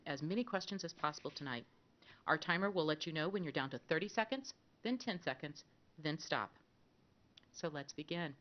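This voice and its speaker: A woman moderating a public candidate forum, reading out rules and introducing candidates in a measured announcing way. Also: lowish voice. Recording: dull lo-fi broadcast audio.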